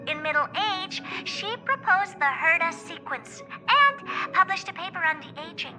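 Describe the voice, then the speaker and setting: A woman's voice: very high voice, very animated, unhurried, exaggerated voice. A woman voicing a proud, eccentric, youthful female genius scientist in a video game, self-assured and theatrical, delivering scripted monologues. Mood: proud, matter-of-fact. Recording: music behind the voice. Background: music.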